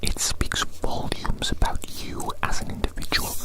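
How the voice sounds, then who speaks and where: A man whispering a breathy ASMR roleplay monologue in a rambling, repetitive, whimsically fussy way. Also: a little slow.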